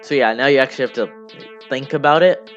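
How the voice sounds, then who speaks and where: A man's voice: mid-range voice, a bit bright. Man rambling casually over music, muffled mic. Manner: rambling, halting, repeats himself. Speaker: hobby game developer narrating a devlog. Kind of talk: casual, unscripted screen-recording commentary. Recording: dull lo-fi mic under music.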